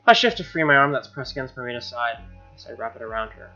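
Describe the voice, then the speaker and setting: A man with a creaky, forceful voice reads a visual novel aloud, adding casual slangy asides. The recording is a little dull, with guitar music behind him. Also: medium pitch, sing-song, fast. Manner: informal, slangy commentary. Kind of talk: dramatic reading with casual asides.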